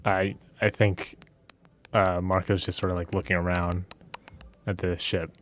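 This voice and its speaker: A man's voice: low-pitched, level tone, unhurried, fairly bright tone, a little hiss or hum. A man playing a tabletop game, chatting casually and describing his character in a hesitant, rambling way. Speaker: player in a tabletop game podcast. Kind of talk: casual improvised game chat.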